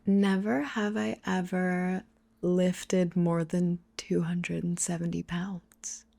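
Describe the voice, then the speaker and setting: A woman with a breathy, whispery voice, improvising an ASMR roleplay as casual, teasing banter. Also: on the low side, flat delivery, takes it slowly, mellow. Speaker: ASMR roleplay performer playing sisters.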